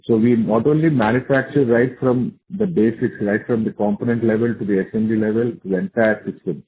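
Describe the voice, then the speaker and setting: A man's voice: on the low side, steady tone, a little fast. A man with an Indian accent speaks as a company executive answering questions on an earnings call, assured and repeating key points. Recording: narrow tinny phone conference line.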